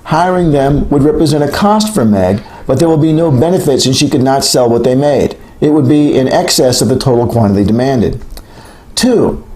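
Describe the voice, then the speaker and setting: A man reading a textbook aloud as scripted narration, in a measured, clearly enunciated lecturing way. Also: mid-range voice, lively intonation, a little mellow, rough recording. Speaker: author reading an audiobook chapter.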